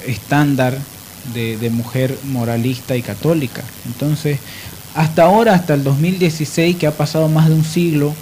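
A man's voice: mid-range voice, darkish tone. Emphatic male radio host, noisy recording. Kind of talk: opinionated radio discussion.

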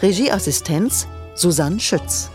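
A woman's voice: lowish voice, expressive, slow pace, music in the background. A woman announcer reading a scripted credits announcement for a radio drama.

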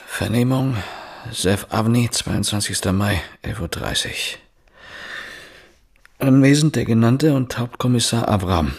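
An actor in a German radio drama playing a brooding, probing police chief inspector with a terse, controlled, formal manner. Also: male voice, medium pitch, animated, unhurried, gravelly. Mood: brooding, official.

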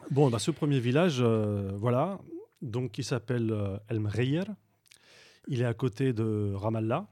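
A man speaking as a guest on a radio talk show, recounting his volunteer trip in a halting way with frequent fillers and restarts. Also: mid-range voice, dark tone. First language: Arabic speaker. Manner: hesitant, many fillers and restarts. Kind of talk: radio interview.

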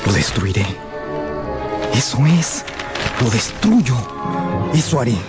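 Anxious young nephew, slow and halting. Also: male voice, medium pitch, big pitch swings, loud music behind the voice. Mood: awed, frightened. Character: earnest young nephew, anxious, lovestruck apprentice scientist. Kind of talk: radio drama acting.

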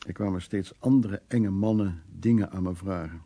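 An older male actor playing a stubborn, world-weary but fond war survivor in a radio drama, plain-spoken, with a husky, creaky voice. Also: deep voice, moderate pace, dark tone. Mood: wry, weary reminiscing. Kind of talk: radio drama acting, reminiscing and intimate dialogue.

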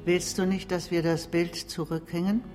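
A woman's voice: on the low side, level tone, moderate pace, darkish tone, lightly acted. A very old nursemaid and confidante acted by a woman speaks in a radio play with a frank, unflinching manner. Her voice rings in a long reverberant hall, with music faintly behind it. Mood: matter-of-fact. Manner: plain-spoken, unflinching. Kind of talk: German radio drama acting.

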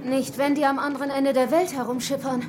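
An actress playing a bitter, hostile survivor with an explosive temper and biting sarcasm in a radio horror drama. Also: female voice, high voice, level tone, brisk, noisy background, natural voice. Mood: sharp, sarcastic.

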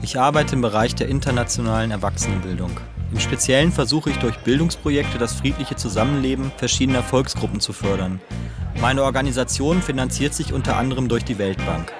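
A man's voice: mid-range voice, brisk, creaky. A man speaking as an interviewee in a radio feature. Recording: music behind the voice. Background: music.

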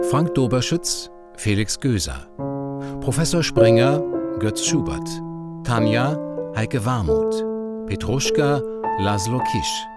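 A man reading scripted credits as the announcer of a radio drama. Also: mid-range voice. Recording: loud guitar and piano music underneath.

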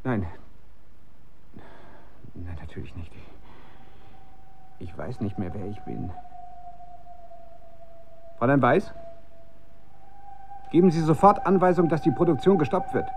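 A man's voice: a little high, expressive, a little slow, pauses often, background noise. A man in a German radio drama playing a tormented research chemist who is losing his grip on reality, intense and urgent. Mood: confused, desperate. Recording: dull, band-limited radio recording.

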